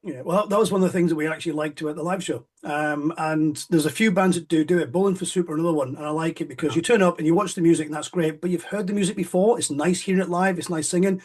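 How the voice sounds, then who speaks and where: A man's voice: on the high side, quick pace, hardly any pauses, darkish tone, slightly rough. A man hosting a casual interview, friendly and rambling, with plenty of fillers. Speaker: podcast host.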